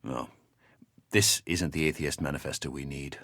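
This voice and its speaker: An actor playing a thoughtful male researcher who reads and discusses ideas in a measured, articulate, reflective way. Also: very deep voice, a bit bright, dry recording, clean studio sound, heavily acted. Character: thoughtful male researcher reading quotations aloud and discussing them. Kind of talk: audio drama reading and conversation.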